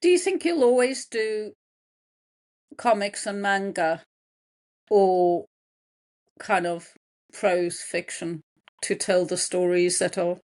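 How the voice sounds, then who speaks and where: A woman's voice: medium pitch, takes it slowly, long pauses, darkish tone. A woman co-hosting a podcast, chatting casually and encouraging the guest with frequent agreement. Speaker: podcast co-host. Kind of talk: casual interview chat.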